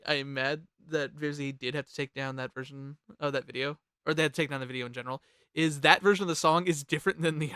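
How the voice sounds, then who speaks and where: Casual host, mid-pitched and very bright, rambling and sweary, dry close sound. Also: no room sound. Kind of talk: casual group chat, ranking songs.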